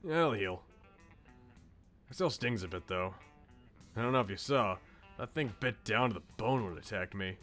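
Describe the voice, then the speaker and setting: A man's voice: lowish voice, lively intonation, moderate pace, long pauses, a bit bright, faint music behind. A man voicing a brash, insecure but loyal eighteen-year-old slacker in a visual novel, with blunt, casual slang. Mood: casual, self-deprecating. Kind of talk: visual novel character read aloud.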